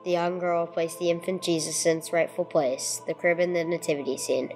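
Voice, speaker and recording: A woman's voice: lowish voice, flat delivery, a little fast, darkish tone, soft background music, lightly acted. A woman playing a terse, sober police detective who narrates a case in an old-time radio drama style, on a noisy recording. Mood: sober, reflective. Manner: matter-of-fact, clipped. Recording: clearly noisy amateur recording.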